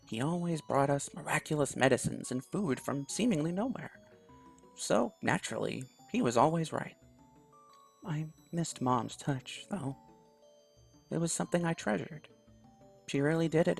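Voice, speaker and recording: A man quietly reading a visual novel aloud in first person, soft-spoken. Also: a little high, expressive, moderate pace, vocal fry, breathy edge, soft background music. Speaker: video game YouTuber.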